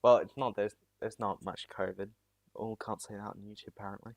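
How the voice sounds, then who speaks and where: A man's voice: lowish voice, steady tone, a little fast, no echo. Teen boy streamer from South Australia. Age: teens. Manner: rambling and self-deprecating, with many restarts. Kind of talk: casual live-stream chat. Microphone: new USB mic.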